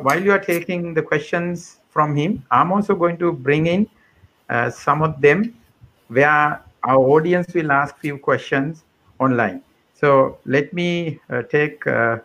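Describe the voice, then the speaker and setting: Measured male podcast host. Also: fairly high pitch, a bit bright, rough recording. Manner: measured, deliberate, with pauses and "uh" fillers. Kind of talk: formal show introduction.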